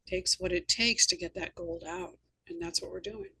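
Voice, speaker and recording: A woman's voice: fairly low pitch, fairly even intonation, moderate pace, gravelly, sharp tone, slightly rough, rough recording. A woman hosting an interview, telling anecdotes in an earnest, emphatic conversational way. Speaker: host and novelist.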